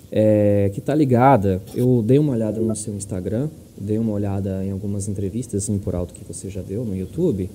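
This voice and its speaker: A man's voice: mid-range voice, dark tone, slight background noise. A man in his thirties with a Brazilian accent co-hosting a casual podcast interview, explaining things conversationally. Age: 30s. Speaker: podcast co-host. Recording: music in the background.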